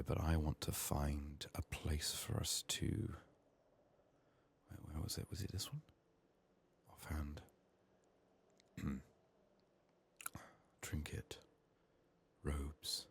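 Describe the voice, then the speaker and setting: Very deep, gravelly soft-spoken man. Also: slight background noise. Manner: hushed, unhurried musing to himself. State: sick, strained voice. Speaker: video game streamer, ASMR host. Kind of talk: soft-spoken, partly whispered ASMR game commentary.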